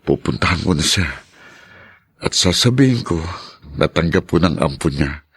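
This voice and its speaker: A Filipino man playing an earnest, lovestruck suitor in an audio drama, with a gravelly, husky voice. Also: sits low, lively intonation, moderate pace, full character voice. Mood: torn, resolving. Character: Alberto, lovestruck earnest man, hesitant then resolved.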